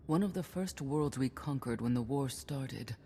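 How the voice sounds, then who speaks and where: A woman's voice: a bass voice, expressive, moderate pace, warm tone, soft background music. A woman playing a cool, matter-of-fact advisor briefing on a target in a game cutscene.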